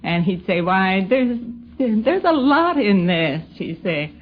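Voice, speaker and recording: A woman's voice: mid-range voice, big pitch swings, slow. An older woman reminiscing in an interview on a 1950s radio broadcast. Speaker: interviewee, hometown acquaintance. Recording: dull 1950s radio recording.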